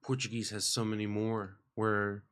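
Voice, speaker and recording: A man's voice: on the low side, level tone, moderate pace, a bit bright, clear, resonant tone. Young american guy podcasting in a radio studio, loose and rambly, lots of "like". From United States. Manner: rambling, filler-heavy, upbeat. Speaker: podcast host. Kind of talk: casual chat. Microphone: studio microphone with headphones.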